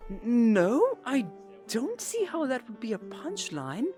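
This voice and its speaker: A guileless, sunny host in an audio drama, played by a woman. She speaks slowly in a higher, very lively voice. Music plays behind her. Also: heavily acted. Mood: puzzled. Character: cheerful, guileless, slightly naive host. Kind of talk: animated audio drama acting.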